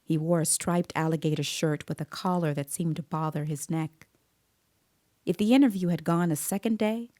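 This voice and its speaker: A woman playing a composed, observant child interviewer, narrating an audio drama in a measured, deliberate way. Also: deep voice, dark tone. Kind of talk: scripted dramatic first-person narration. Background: musical scoring.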